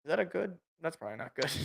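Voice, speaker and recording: A man co-hosting a casual sports podcast, loud and excitable, stumbling over repeated words, his voice worn out. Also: on the high side, normal speed, creaky, slightly rough. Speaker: podcast co-host.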